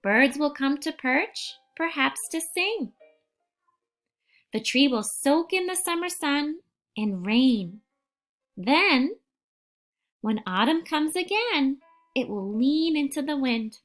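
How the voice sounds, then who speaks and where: A woman reading a picture book aloud for young children and giving gentle instructions, phrasing things deliberately and carefully. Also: high voice, expressive, soft background music.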